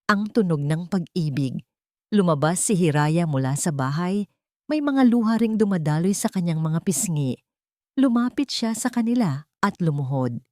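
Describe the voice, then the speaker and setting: A woman storyteller narrating a scripted dramatic audio story in deliberate, sentimental short phrases. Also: deep voice, warm tone, dry recording, studio quality. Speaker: storyteller and channel host.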